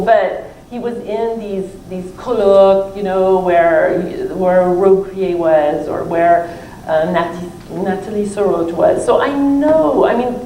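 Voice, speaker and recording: A woman speaks as an academic answering questions about her book, in a conversational, discursive way with many self-qualifications. Her voice sits at a middling pitch. The sound is roomy and distant, with faint noise. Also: dark tone. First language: not French (non-native French speaker). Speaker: professor and author presenting her book. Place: library event room, recorded from a distance.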